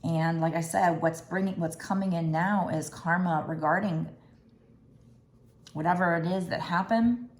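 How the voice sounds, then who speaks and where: A woman doing a tarot card reading, talking gently and musingly with restarts, her throat a little raspy. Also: on the low side, steady tone, measured pace, roomy sound. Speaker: tarot reader.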